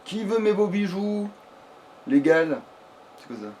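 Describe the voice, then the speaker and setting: A man's voice: high-pitched, animated, measured pace, a little mellow, slight background noise, poor audio. A man giving casual, rambling gameplay commentary as a let's play host, swearing freely and talking crudely.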